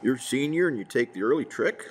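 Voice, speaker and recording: A man playing a calm police detective in an amateur radio drama, putting brief, plain questions. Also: mid-range voice, fairly quick, slight background noise, natural voice. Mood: calm, inquiring. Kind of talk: 1950s radio drama acting, amateur church production.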